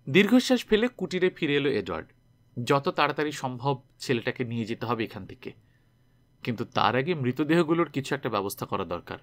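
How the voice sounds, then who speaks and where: A man narrating a scripted story dramatically, with expressive delivery as an audiobook reader. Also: medium pitch.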